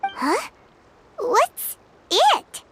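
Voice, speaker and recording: Squeaky sky-high pitch, animated swings, childish fairy companion, chirpy, exclamatory, video game. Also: female voice, drawn-out words, a little hiss or hum, full character voice. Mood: curious. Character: tiny chirpy female fairy companion, curious and blunt.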